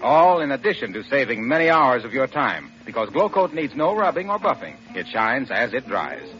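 An American man announcing on 1940s radio, delivering a scripted sales pitch with folksy polish. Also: sing-song, moderate pace, fairly bright tone, a little hiss or hum. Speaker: radio announcer and sponsor spokesman. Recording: dull vintage radio recording, music behind.

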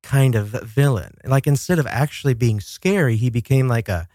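A man's voice: mid-range voice, normal speed, darkish tone, no room sound, studio quality. A man hosting a casual solo podcast, telling stories dramatically with chatty asides and some swearing. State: coming down with a cold. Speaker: podcast host. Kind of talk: casual solo talk show about horror films.

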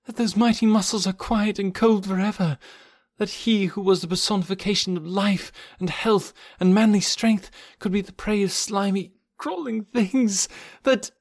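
A grief-stricken young American woman, played by a male narrator, pitched high and swooping. The delivery is tender and dramatic, with plaintive, breathy cries, as in an audiobook of an old adventure novel. The sound is close and dry. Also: expressive, moderate pace, few pauses, no room sound, full character voice. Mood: bleak, sobbing. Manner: plaintive, breathy exclamations, anguished.

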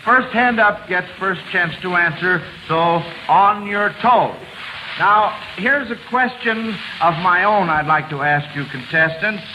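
An American man hosts a vintage radio quiz with a high voice and an unhurried delivery. He has a genial showman's manner, and the old broadcast is clearly noisy and hissy. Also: bright, forceful tone. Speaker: quiz show host. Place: university auditorium.

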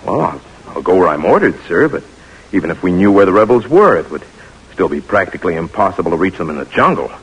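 An actor playing a skeptical, worried army captain, hesitant yet emphatic and deferential, in an old-time radio drama. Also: male voice, low voice, sing-song, fast, husky, full character voice, poor audio. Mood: skeptical, protesting.

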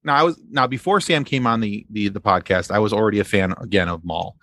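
A man speaking as a podcast guest in casual fan discussion, haltingly repeating phrases as he explains. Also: lowish voice, a bit bright, no echo.

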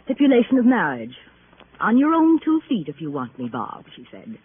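A woman's voice: mid-range voice, wildly swooping pitch, moderate pace. A woman playing a bored, sardonic, world-weary wealthy wife in a 1950s radio drama. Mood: bitter confiding. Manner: arch, world-weary, theatrical. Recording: tinny, noisy vintage radio recording.

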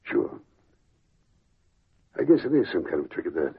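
A muffled old radio recording holds a hot-tempered, vengeful gangster. The voice is deep and extremely gravel-rough, with menace and suspicion in the delivery. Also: male voice, big pitch swings, normal speed, exaggerated voice. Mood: suspicious. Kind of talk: old-time radio drama acting.

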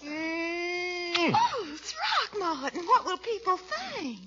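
High, coy Southern belle widow. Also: female voice, big pitch swings, very slow, over a noisy crowd, full character voice. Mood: gushing. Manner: coy, cooing. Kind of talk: 1940s radio comedy acting. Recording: dull, noisy vintage radio recording.

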